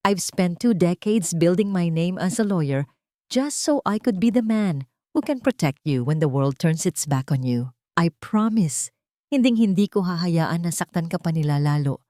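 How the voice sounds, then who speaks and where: A woman's voice: lowish voice, warm tone, no room sound, studio quality. A woman voicing a devoted middle-aged male lawyer in an audio drama, solemn and reassuring, mixing English with Tagalog.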